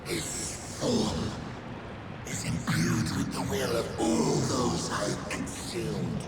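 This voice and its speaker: Game creature from the void: low-pitched, grave and formal, weary with longing, loud music behind. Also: male voice, reverberant. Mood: grave, resolute. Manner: slow, grave, formal, deliberate. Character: solemn otherworldly male void creature, weary and homesick. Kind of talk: dramatic video game cutscene acting.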